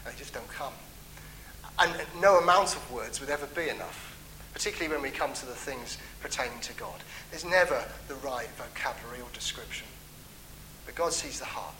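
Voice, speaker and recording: Man, preacher, earnest sermon, emphatic rises, soft trailing endings, sharp bright voice. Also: mid-range voice, fairly quick, slight background noise, low-quality recording. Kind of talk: sermon on a psalm.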